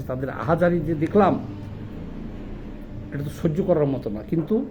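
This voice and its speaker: A man's voice: fairly high pitch, darkish tone, a little hiss or hum, rough recording. A man with a Bangladeshi accent delivering an impassioned political monologue, indignant and emphatic, often putting rhetorical questions. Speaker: political commentator.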